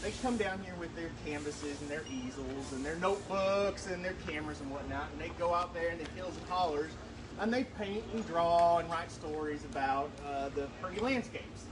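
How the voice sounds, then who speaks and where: A high-pitched man plays host and storyteller at a live show. He talks in a folksy, down-home manner with colloquial country grammar. The microphone is some distance away, and there is clear background noise. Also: normal speed. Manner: folksy, colloquial rural grammar and drawl phrasing. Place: live show at an art guild event. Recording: distant mic, noisy live event ambience.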